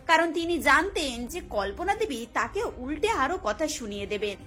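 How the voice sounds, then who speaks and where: A woman narrates a cartoon tale. Her voice sits high, and light music plays behind her scripted storytelling. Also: sharp tone.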